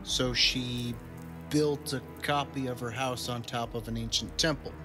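A man's voice: mid-range voice, steady tone, a little slow, lightly acted. A man playing a skeptical, questioning adventurer in an audio drama, with a wry delivery. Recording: long cavernous reverb, faint music.

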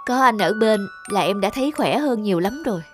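A woman's voice: medium pitch, animated, a little fast, music in the background, close to an everyday voice. A woman voicing a sensitive young woman in love in a cartoon, with heartfelt, emotional delivery. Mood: grateful. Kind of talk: cartoon dubbing.

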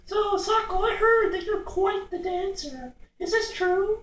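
An excitable, easily flustered sock puppet talk-show host with halting, hammy, exclaiming comedic patter. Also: very high-pitched. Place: living room, puppets behind a couch. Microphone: distant camera microphone. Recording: echoey room, far from the mic.

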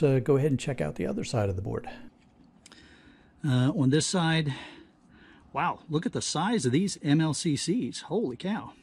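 A man's voice: medium pitch, mellow, a little hiss or hum. A man giving casual hands-on commentary as he works, thinking aloud in a folksy, exclaiming way. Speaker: hobbyist host of scrapping videos. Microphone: action camera or phone near the workbench.

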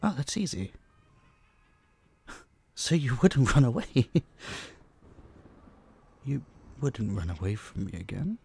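A man playing an obsessive, possessive vampire captor in an intimate audio drama, hushed, teasing and dominant, menacingly sweet, dipping into whispers. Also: medium pitch, big pitch swings, slow, gravelly, long pauses, slightly rough, full character voice. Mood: amused, possessive. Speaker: voice actor. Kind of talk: intimate roleplay audio drama, addressing the listener.

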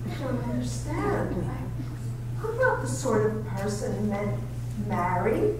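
An amateur actress in a staged radio drama reading, playing a shy young woman who speaks hesitantly and softly, full of self-doubt. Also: a little high, very animated, slow pace, heavy background noise. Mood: flustered. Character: shy, timid young female paid companion. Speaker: amateur readers theater actress. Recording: echoey hall, far from mic.

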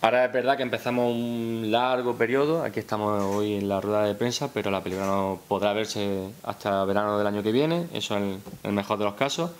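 A man's voice: lowish voice, fairly even intonation, fairly quick, hardly any pauses. A young man with a Spanish accent from Spain, speaking unscripted at a press conference and hesitantly repeating words as he goes. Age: young adult. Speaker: film director. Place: indoor press conference room. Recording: hissy press-room recording.

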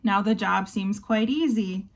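Young woman reading kids story, slow n expressive, bit of creak in her voice. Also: medium pitch. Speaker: volunteer storybook reader. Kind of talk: reading a children's picture book aloud. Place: small bedroom, indoors.

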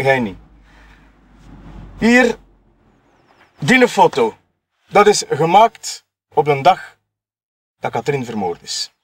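A man acts out a cocky, shady Flemish photographer who deals on the side in an audio murder mystery. His male voice sits a little high. He talks in loose colloquial speech, smugly taunting and justifying himself. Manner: colloquial, taunting, tag questions, chuckling self-justification. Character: sleazy, cocky male photographer and drug dealer, smugly mocking.

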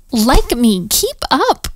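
Woman playing a slow-talking bratty young princess. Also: high-pitched, no room sound, heavily acted. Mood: curious. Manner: teasing, giggly, casual modern phrasing, dropping into whispers. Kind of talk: intimate roleplay audio drama.

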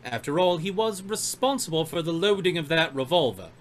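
A man's voice: on the high side, very animated, brisk, a little hiss or hum. A man playing a stern, officious country doctor in a radio mystery, formal, pompous and unyielding. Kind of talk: old-time radio mystery acting.

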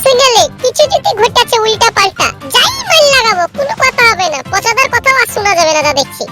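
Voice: very high voice, expressive, fast, over music. A performer voicing a hot-headed, insistent young club boy in a comedy cartoon. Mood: scheming, belligerent. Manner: insistent, bossy. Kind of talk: Bangla comedy cartoon dubbing.

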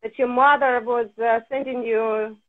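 A woman in her forties interviewing a guest with eager, hesitant phrasing. Her voice sits high, and it comes through thin and phone-like on a video call, where she wears headphones. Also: fairly even intonation, moderate pace. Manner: enthusiastic, hesitant phrasing, non-native grammar. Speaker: interviewer host. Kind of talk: casual interview chat. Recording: tinny phone-like call audio.

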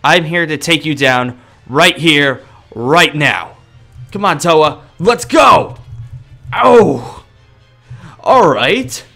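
A young man improvising in-character gameplay roleplay in a cocky, dramatic, excitable manner. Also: fairly high pitch, very slow, gravelly, faint music behind. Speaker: gaming roleplay video creator.

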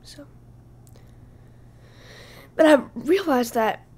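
A woman hosting her own podcast, speaking casually while hoarse from losing her voice, halting and often dropping to a breathy whisper. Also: sits high, animated, a little mellow. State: hoarse, lost voice. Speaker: podcast host. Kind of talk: casual solo podcast talk.

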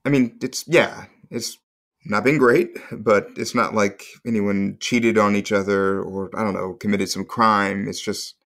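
A low-voiced, halting, awkward young dad. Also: male voice, fairly even intonation, fairly bright tone. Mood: awkward, sad. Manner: halting, self-correcting. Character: awkward, heartbroken young father, halting and tender. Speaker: actor in an audio play. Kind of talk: naturalistic comedy-drama acting.

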